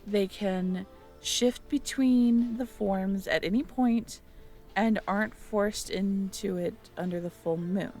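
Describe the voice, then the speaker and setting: A woman's voice: medium pitch, slow pace, a little mellow, soft background music. A woman in an intimate audio roleplay, musing aloud as she reads through notes and checks back with the listener.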